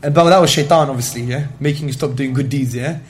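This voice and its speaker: A man's voice: medium pitch, quick pace. A man giving an Islamic religious talk to an audience, speaking emphatically and rhetorically and peppering his speech with Arabic phrases. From Greek heritage. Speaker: Islamic lecturer.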